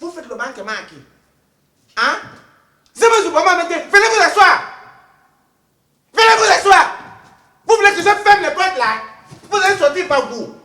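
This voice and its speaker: A performer playing a loud, bossy middle-aged woman who hosts a comic talk show and jokes about her own accent. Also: extremely high pitch, big pitch swings, normal speed, pauses often, exaggerated voice. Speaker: comedy sketch host. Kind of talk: comedic talk-show parody. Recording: echoey room.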